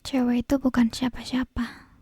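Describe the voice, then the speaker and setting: A woman playing an obsessive, possessive, lovesick kidnapper girl in an ASMR roleplay, whispering breathily and menacingly close to the microphone with lingering pauses. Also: fairly high pitch, monotone, warm tone, husky, no room sound. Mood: scornful, possessive. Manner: slow, lingering phrases broken by pauses. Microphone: close binaural microphone.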